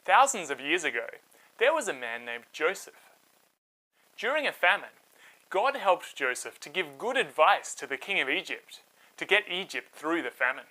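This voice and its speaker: Male storyteller and host for children's narration: animated, bright, dramatic, clear phrasing. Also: fairly high pitch, moderate pace, low-quality recording.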